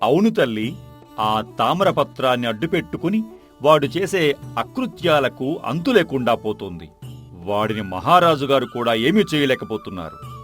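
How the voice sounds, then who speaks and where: A man's voice: mid-range voice, fairly bright tone. A man acting a loyal, concerned companion of a king in a folk tale, respectful and earnest.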